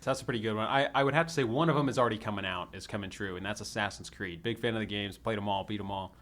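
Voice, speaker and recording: A man's voice: on the low side, racing, a bit bright. A man chatting casually and jokingly as a podcast co-host. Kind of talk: casual chat about games and movies.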